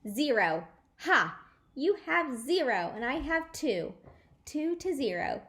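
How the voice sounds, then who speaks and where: A woman voicing a bossy, competitive little girl in a storybook, with a loud, gloating manner. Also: sits high, sing-song, takes it slowly, voice pushed up, heavily acted. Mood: taunting.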